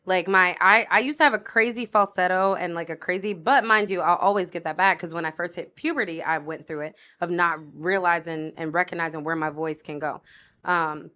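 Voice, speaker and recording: Woman, singer, casual podcast guest, bright tone, slightly low voice, chatty. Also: a little fast. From Florida. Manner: laughing, chatty, playful.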